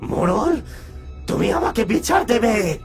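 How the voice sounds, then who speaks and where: Man voicing a creaky, vengeful ghost. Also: high-pitched, big pitch swings, full character voice. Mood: demanding, aggrieved. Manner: menacing, drawn-out demands. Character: vengeful male ghost of a villager burned alive. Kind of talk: dramatized animated horror story. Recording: music behind the voice.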